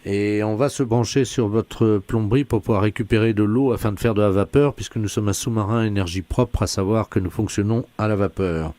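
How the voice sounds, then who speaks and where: A man's voice: fairly low pitch, brisk, few pauses, warm tone, natural voice. A man playing a solemn, matter-of-fact submarine captain on a prank call, giving official-sounding orders with a straight face. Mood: polite, official. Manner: official, earnest, barking orders to crew. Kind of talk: deadpan telephone prank.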